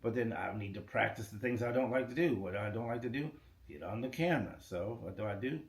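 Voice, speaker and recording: A man's voice: lowish voice, quick pace. A middle-aged man, a guest on a podcast, talking casually and rambling with frequent restarts about running a radio station. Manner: relaxed, rambling, restarts often. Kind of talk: casual interview chat. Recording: echoey room with faint ambient noise.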